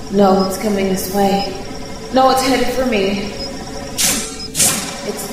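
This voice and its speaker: Heavy echo and long reverb surround an actress as a stranded female space reporter, heard from off the mic over a noisy ambience. She speaks slowly and haltingly, proud yet frightened, in the manner of a sci-fi radio play. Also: mid-range voice, recorded from a distance, music in the background, lightly acted. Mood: terrified, defiant. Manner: halting, breathy delivery with broken, repeated phrases. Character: stranded female news reporter, proud but terrified, facing death. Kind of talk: audio drama monologue, sci-fi radio play. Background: clear ambient noise.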